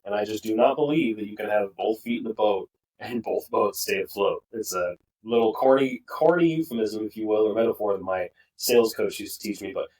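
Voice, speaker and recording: A young man co-hosts a casual podcast with a somewhat low voice. He talks earnestly and with conviction, often restarting his sentences. He sounds a little distant from the microphone. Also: a little fast, darkish tone. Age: young adult. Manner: earnest, opinionated, rambling with restarts. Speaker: podcast co-host. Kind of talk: casual political discussion.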